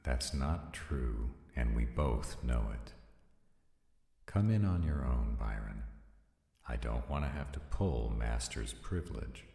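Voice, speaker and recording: A man playing a formal, commanding vampire nobleman in a roleplay audio drama, with a gravelly, husky voice and deliberate phrasing. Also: rumbling low, animated, warm tone, no room sound, studio quality, full character voice. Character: commanding, arrogant male vampire nobles in tense parley.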